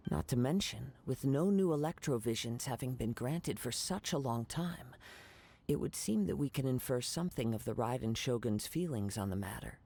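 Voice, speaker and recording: Brief: performer as a gentle, gravelly young wandering swordsman, formal and composed. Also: medium pitch, expressive. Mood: thoughtful. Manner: measured, formal and gentle, drops to a whisper at times. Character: calm young male wandering samurai, poetic and courteous. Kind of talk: soft ASMR-style fan voice acting.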